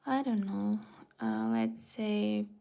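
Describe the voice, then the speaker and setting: Woman muttering to herself while she works, slow and gravelly, half whispering. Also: medium pitch, sing-song, dark tone. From Bulgaria. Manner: murmuring half to herself, often dropping to a whisper. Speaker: web designer livestreaming her work. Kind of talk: casual livestream, thinking aloud while working.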